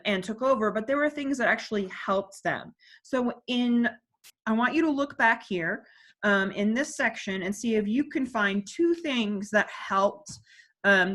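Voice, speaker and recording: A woman's voice: mid-range voice, fairly bright tone. A woman teacher reading a textbook section aloud and stopping to explain terms and put questions to her class.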